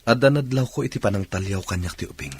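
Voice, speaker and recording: An actor playing a compassionate, concerned young doctor in an Ilocano radio drama, speaking earnestly. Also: male voice, lowish voice, lively intonation, quick pace, slight background noise. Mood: troubled, tender. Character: compassionate young doctor, troubled by his mother's coldness.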